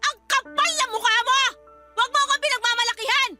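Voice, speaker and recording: A woman's voice: very high-pitched, fairly even intonation, quick pace, over music. An actress playing a harsh, domineering middle-aged mother who scolds and belittles in a Tagalog radio drama. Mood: furious.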